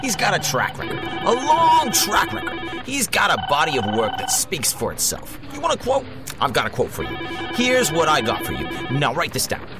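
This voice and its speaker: A man's voice: on the high side, wildly swooping pitch, normal speed, over loud music, low-quality recording. A man playing a pushy, defensive showbiz agent in a comedic audio drama, piling up emphatic repeated phrases. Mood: boastful, pushy.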